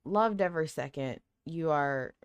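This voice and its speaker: A woman's voice: low voice, lively intonation, a little slow, clean tone, dry recording. A woman hosting a casual fan podcast, chatting in an emphatic, playfully argumentative way. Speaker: podcast host.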